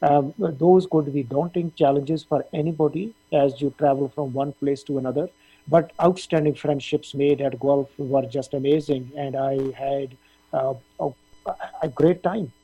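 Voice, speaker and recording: A middle-aged man from Punjab, India, a dean giving measured interview answers with frequent fillers. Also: medium pitch, steady tone, warm tone, breathy edge, rough recording. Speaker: dean of a veterinary faculty.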